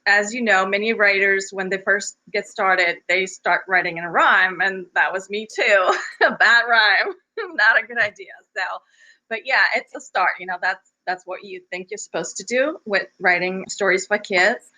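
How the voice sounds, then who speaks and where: Bulgarian-born woman, Bulgarian first language, children's author guest, bright voice, laughs easily. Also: medium pitch, moderate pace, rough recording. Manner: laughs often, warm and modest. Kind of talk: interview conversation.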